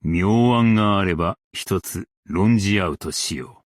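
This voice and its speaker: A man playing a principled, stern yet merciful scholar-strategist in a game, speaking in a formal, archaic and measured way. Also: sits low, dry recording. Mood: quiet, reflective. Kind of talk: Japanese game character voice lines.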